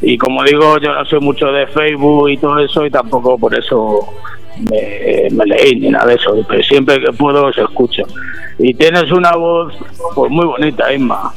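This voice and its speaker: A man with a hoarse, rough voice, talking casually and haltingly as a guest on live radio. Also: medium pitch, fairly even intonation, rough recording. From Bujalance, Andalusia, Spain. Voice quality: hoarse, gravelly. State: hoarse after little sleep. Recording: radio show with faint music.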